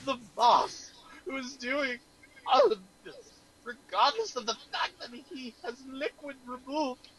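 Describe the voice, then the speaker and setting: A man playing a melodramatic company boss in a mock dramatic reading, with exaggerated, halting, drawn-out delivery. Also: very high-pitched, big pitch swings, fairly bright tone, voice pushed up, exaggerated voice, low-quality recording. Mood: overwrought, anguished. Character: melodramatic boss in a mock-solemn email, sliding into mobster and creature.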